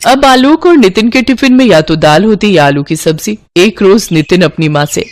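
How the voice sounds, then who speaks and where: A woman's voice: medium pitch, lively intonation, normal speed, a little hiss or hum. A woman storyteller reading a scripted moral tale as narrator.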